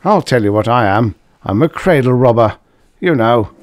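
A man voicing a brash, tipsy young male drill leader who hiccups and barks orders, in a lively, loud way. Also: medium pitch, moderate pace, no echo, clean studio sound, voice pushed up, lightly acted. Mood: tipsy, boastful. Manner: boastful, gruff barking orders.

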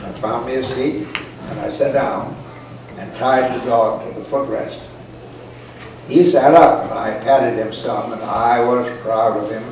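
A man's voice: medium pitch, fairly even intonation, measured pace, darkish tone. An elderly man in his eighties or older reads a folksy, humorous story aloud in a dry, rustic manner. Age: 80s or older. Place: indoor parlor with a small audience. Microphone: camera microphone at a distance. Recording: echoey, noisy room recording from far off.

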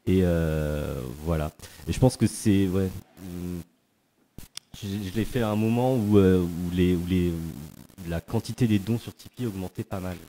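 A man's voice: sits low, unhurried, dark tone, slight background noise. A man on a festival panel explaining his path from teaching to making videos, thoughtfully and with many fillers. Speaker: panel guest, video creator. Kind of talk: casual panel talk.

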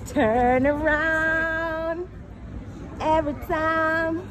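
A woman's voice: extremely high pitch, steady tone, drawn-out words, some chatter behind. A middle-aged woman from Jamaica with a Jamaican accent, joking and teasing as she vlogs outdoors on her phone. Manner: joking, teasing, sing-song, laughing often. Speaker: vlogger. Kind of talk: playful outdoor vlogging. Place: outdoors by a city river and in a park. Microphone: phone camera held at arm's length. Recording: loud outdoor street noise.

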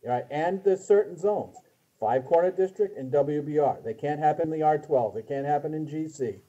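A man in his fifties speaking haltingly as a city official explaining things in a meeting, often trailing off. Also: fairly high pitch, warm tone. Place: small office with hard ceiling, indoors. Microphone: video call. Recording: dull lo-fi video call audio.

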